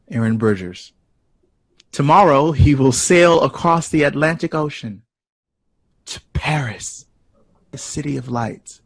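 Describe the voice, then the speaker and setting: An actor playing an exuberant, theatrical jazz composer hosting a party in a 1940s audio drama, with a florid manner. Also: male voice, mid-range voice, no echo. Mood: tender, celebratory. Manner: flamboyant, florid, switches into French. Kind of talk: period audio drama acting.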